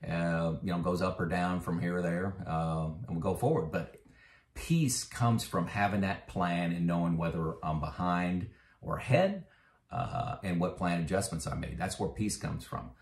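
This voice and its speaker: A man's voice: low-pitched, normal speed, echoey room. A man in his fifties talking casually about his own finances, conversational with frequent fillers and growing emphatic when he makes a point. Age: 50s. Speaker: retirement finance vlogger. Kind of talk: casual explanatory talk to camera.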